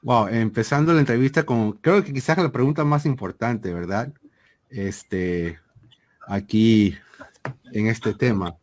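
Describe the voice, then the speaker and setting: Deliberate man, interview guest. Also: mid-range voice. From Panama. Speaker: interview guest, seminary program director. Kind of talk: conversational explanation in an interview.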